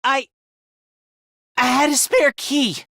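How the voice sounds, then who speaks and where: A man's voice: extremely high pitch, fairly even intonation, takes it slowly, creaky, pushed and bright, no room sound, clean studio sound, exaggerated voice. A man voicing a timid, self-loathing, frantic young male fighter in an anime-style video game dub, stammering, self-deprecating and easily panicked. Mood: evasive, sullen.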